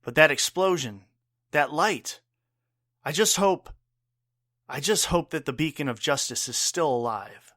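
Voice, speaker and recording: A man's voice: fairly high pitch, lively intonation, measured pace, long pauses, sharp tone, no echo. Man playing an earnest bartender dad, dry close sound. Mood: urgent. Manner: earnest, wry, plain-spoken. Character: gruff, caring bartender father and former army medic. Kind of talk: audio drama acting.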